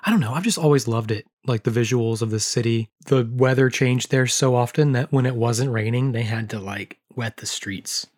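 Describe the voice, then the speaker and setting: Hoarse, joking male podcast host. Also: mid-range voice, moderate pace, hardly any pauses, a little mellow. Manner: joking, chatty, history-nerd asides. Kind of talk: casual chat.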